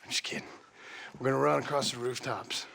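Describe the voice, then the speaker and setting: A man's voice: mid-range voice, flat delivery, brisk, vocal fry, fairly bright tone, slight background noise. An actor playing a laconic male gunslinger with terse, dry humor.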